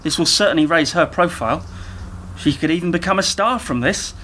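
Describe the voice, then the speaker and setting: Guy doing a naive techie bloke in a radio play, highish voice, earnest rambling, bit of noise behind. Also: animated, brisk, sharp tone. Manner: rambling, earnest, over-explaining. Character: eager, rambling younger male techie, Ollie, defensive and naive. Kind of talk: community radio play dialogue.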